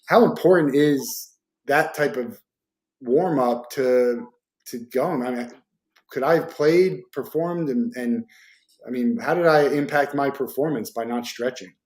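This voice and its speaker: Host, man in his 40s, mid-pitched, relaxed, rambles and hesitates when asking. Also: measured pace, echoey room. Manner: easygoing, rambling questions with hesitations. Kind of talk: casual interview chat.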